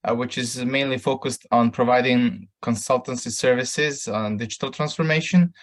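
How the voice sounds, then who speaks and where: A man from Serbia introduces himself on a panel in a halting, hesitant way, pausing on fillers. His pitch is middling and unremarkable. He speaks over a video call. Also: steady tone. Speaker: panelist at an online conference.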